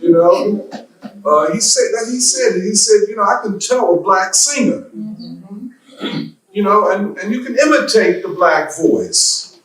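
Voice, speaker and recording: Slow man preaching in an echoey church. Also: high voice, expressive, a bit bright. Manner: slow deliberate preaching with rhetorical questions. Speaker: guest speaker. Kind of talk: church lecture speech. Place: church hall. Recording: echoey hall from far off.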